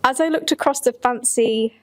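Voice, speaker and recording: A woman's voice: a little high, moderate pace. A woman co-hosting a podcast in a casual, conversational style, chatting and reading stories aloud. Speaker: podcast co-host.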